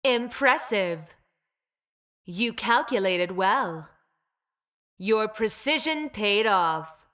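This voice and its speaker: A woman's voice: mid-range voice, lively intonation, very slow, long pauses, a bit bright. A woman voicing a cool, calculating, condescending female scientist fighter in a video game, with a clipped, precise, clinical manner. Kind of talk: game character voice lines.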